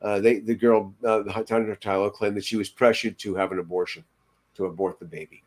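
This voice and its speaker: An older man casually recalling anecdotes as a podcast co-host, in halting, piecemeal phrases, over a video call from home. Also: lowish voice, steady tone.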